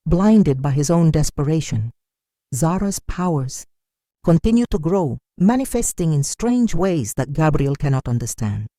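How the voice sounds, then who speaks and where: Folktale narrator, mid-pitched and mellow, solemn dramatic read, very dry recording. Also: no room sound. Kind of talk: dramatic scripted storytelling narration.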